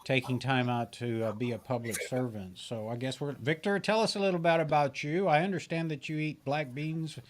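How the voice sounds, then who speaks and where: A man's voice: mid-range voice, normal speed, few pauses. A man hosting a live panel show, chatty and joking with his guests, with frequent fillers. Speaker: show host.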